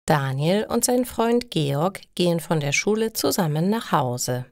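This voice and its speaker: Woman reading easy stories for learners, low voice, takes it slow and careful. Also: animated, a little mellow, no room sound, clean studio sound. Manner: careful, clearly separated short sentences. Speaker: storyteller for language learners. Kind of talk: scripted narration of simple learner stories.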